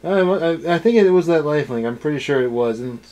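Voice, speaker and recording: A man's voice: a little high, animated, brisk, a little mellow. A man in a casual, opinionated discussion as a review co-host, blunt and dismissive in his ranting. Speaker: co-host of a card game anime review.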